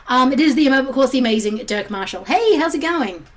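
A woman hosting a casual film podcast, her voice slightly croaky from a sore throat. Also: sits high, lively intonation, brisk. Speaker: podcast host.

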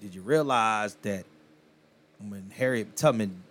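A man's voice: on the low side, measured pace. A man in his thirties co-hosting a podcast, chatting casually and profanely, laughing and raising his voice when surprised. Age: 30s. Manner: animated, loud, swears freely, laughs often. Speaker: podcast co-host.

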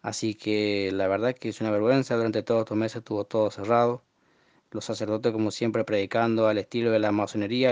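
A man in his early twenties with a northern Argentine accent, speaking off the cuff in an earnest, indignant, halting monologue. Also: a little mellow, dry recording. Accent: northern Argentine Spanish. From Formosa, Argentina. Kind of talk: unscripted monologue to camera. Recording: muffled, dull low-fidelity audio.

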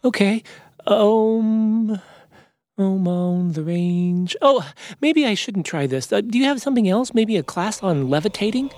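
A man's voice: sits high, very animated, fairly quick, no echo, clean studio sound, voice pushed up. A man voicing an eager, childlike young male bear in a children's story, goofy and rambling. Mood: goofy, restless. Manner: over-enthusiastic, rambling, goofy.

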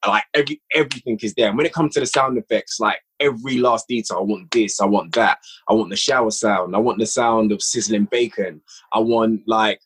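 A man's voice: on the low side, a little fast, a bit bright, husky. A man speaking casually as an interview guest on a podcast, an audio drama writer who repeats phrases for emphasis.

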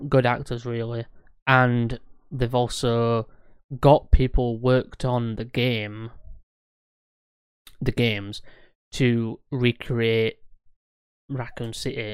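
Male co-host, eager rambler who restarts his sentences, clear tone. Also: medium pitch, dry recording, clean studio sound. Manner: eager, rambling, corrects himself mid-sentence. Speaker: podcast co-host. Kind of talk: casual podcast chat.

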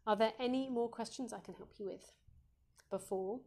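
Croaky, gentle woman giving parenting advice. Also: a little high, normal speed. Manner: gentle, reassuring, with frequent ums. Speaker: Montessori educator and host.

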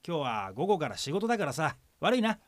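A man playing an excitable, earnest younger brother in a comedic radio drama, with exaggerated delivery. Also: a little high, a little fast. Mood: earnest, reassuring. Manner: exaggerated, earnest, breaks into yelps and stammers.

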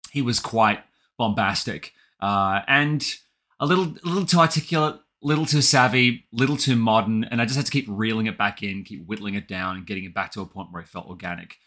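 An Australian man speaks as an interview guest, thoughtful and articulate, like a natural storyteller. His voice sits a little low but has a very bright, crisp edge. He explains things conversationally and with animation. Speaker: guest author and audio drama writer.

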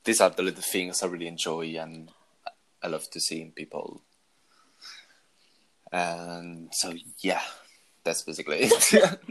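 Deep-voiced young man, halting. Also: animated. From Italy. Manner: hesitant, halting, laughs often. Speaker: guest. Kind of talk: casual Q&A chat.

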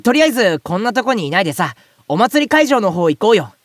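Male actor as high-voiced young man, excitable, friendly, chatty, flustered quips, audio drama. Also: quick pace, far from the mic. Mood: wry. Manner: chatty, comic, flustered quipping. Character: friendly, excitable ordinary young man, self-styled big brother. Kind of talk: Japanese audio drama acting with first-person narration.

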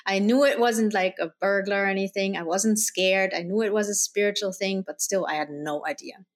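A woman's voice: mid-range voice, moderate pace. A middle-aged woman from Germany telling a personal story in a conversational way as an interview guest. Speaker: guest, spirit guide channeler.

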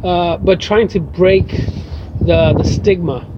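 A man's voice: high-pitched, fairly even intonation, takes it slowly. A man answering interview questions reflectively, filling his thoughts with "you know" and "uh". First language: English. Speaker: guest writer. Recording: muffled with faint background noise.

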